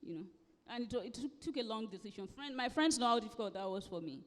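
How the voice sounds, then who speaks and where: A woman's voice: a little high, lively intonation, quick pace. A woman with a Nigerian accent, around forty, speaking emphatically as a guest author in a live conversational interview, often repeating phrases for stress. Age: late thirties to forties. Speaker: guest author at a book festival. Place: live festival event hall. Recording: echoey hall with long reverb.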